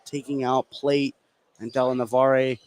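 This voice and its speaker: A man's voice: medium pitch, little pitch movement, moderate pace, a little mellow. An American man working as a color commentator, giving analysis during a live basketball broadcast. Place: high school basketball gym. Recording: faint gym noise behind the voice. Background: gym ambience.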